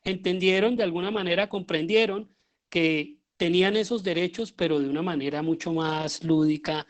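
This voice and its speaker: A man's voice: high voice, moderate pace. Colombian man giving a reflective talk. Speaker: guest lecturer, theatre and radio drama director. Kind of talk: informal lecture talk.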